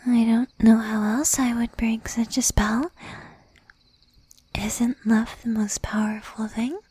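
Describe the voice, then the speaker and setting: A woman's voice: on the high side, flat delivery, no room sound, heavily acted. Woman playing a whispering possessive demon girlfriend. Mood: tender, coaxing. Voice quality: soft, breathy whisper. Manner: slow, intimate, menacing yet doting. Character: jealous, possessive young female demon girlfriend, shy, tender. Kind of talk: whispered ASMR roleplay.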